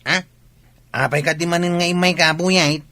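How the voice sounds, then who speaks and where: Brusque, sharp-voiced Filipino villager. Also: male voice, on the high side, steady tone, normal speed, no echo. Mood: irritated, challenging. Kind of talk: comedy radio drama acting.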